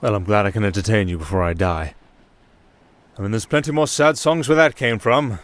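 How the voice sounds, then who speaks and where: A man's voice: lowish voice, fairly quick, lightly acted. A man acting a nervous, rambling young castaway musician with a sardonic streak, in a fantasy ASMR roleplay. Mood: wry.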